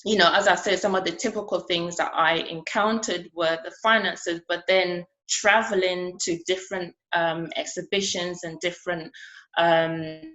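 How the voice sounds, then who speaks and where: A woman from Ghana speaking at a conference about her art, in an earnest, conversational way with frequent pauses. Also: on the low side, fairly even intonation, a bit bright, muffled recording. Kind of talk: conference speech.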